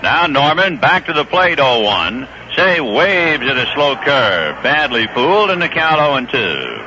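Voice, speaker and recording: A man doing play-by-play baseball commentary on a vintage 1970s radio broadcast, brisk and rising on big plays. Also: medium pitch, sing-song, muffled recording, noisy background. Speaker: play-by-play announcer. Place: ballpark broadcast booth.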